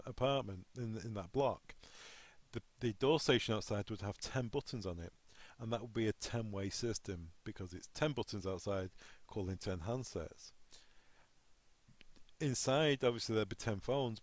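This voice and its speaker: A man's voice: fairly low pitch, no room sound. A man working as a technical trainer, explaining equipment step by step in an instructional walkthrough.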